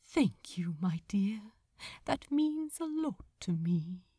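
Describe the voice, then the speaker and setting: Gentle male angel, female performer: soft, fond, hesitant, slow-paced audiobook character. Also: medium pitch, sing-song, husky, dry recording, studio quality, full character voice. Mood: tender.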